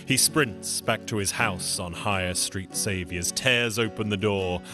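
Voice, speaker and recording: A man's voice: fairly low pitch, unhurried, over music. A man telling a folktale as a storyteller, in a dramatic, theatrical narrating style.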